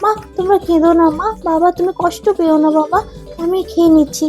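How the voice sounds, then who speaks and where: A woman's voice: extremely high pitch, fairly even intonation, a little fast, a little mellow. A woman voicing a young poor village boy in a cartoon, tender-hearted and pleading in a plaintive way. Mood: brave, consoling. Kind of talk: cartoon dubbing. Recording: music under the voice.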